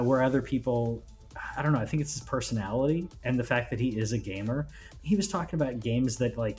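Regular guy podcast voice, mid pitch, chatty and informal, bit of music behind him. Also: darkish tone. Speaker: gaming news podcast co-host. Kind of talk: casual chat.

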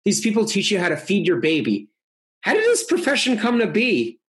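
A man born in Russia talks as a comedy podcast guest. His voice sits a little high, and he rambles through his explanations before landing his jokes deadpan. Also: fairly quick. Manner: rambling, deadpan joke delivery. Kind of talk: casual podcast banter.

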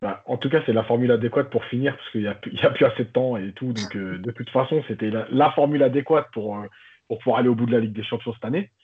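A man on a football podcast panel giving a relaxed, joking opinion, thinking aloud as he goes. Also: mid-range voice, fast talker, breathy edge. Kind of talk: casual opinion chat. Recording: tinny phone-like line.

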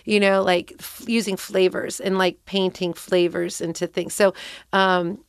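A chatty woman in her thirties, talking as an interview guest with lots of laughs and restarts. Her voice is smooth and clear, recorded close and dry. She rambles warmly through stories, full of fillers. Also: on the low side, level tone, a little slow, no room sound. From Cincinnati, USA. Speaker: guest, bartender. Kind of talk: casual interview chat.

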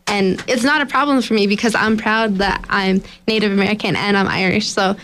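A woman's voice: medium pitch, fairly quick, a bit bright. A teenage girl in a casual discussion who fills her talk with words like "like". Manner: casual, uses fillers like "like". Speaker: discussion participant.

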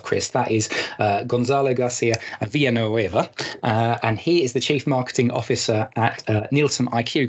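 A man's voice: medium pitch, husky. A man hosting a podcast in a chatty, rambling way, introducing guests and setting up questions. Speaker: podcast host.

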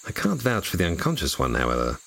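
Man as a deep, husky fallen angel. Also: huge pitch swings, fairly bright tone, heavily acted. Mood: wry, courteous. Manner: measured, dryly polite, wry. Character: brooding, wry, commanding male fallen angel. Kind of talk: fantasy audio drama acting.

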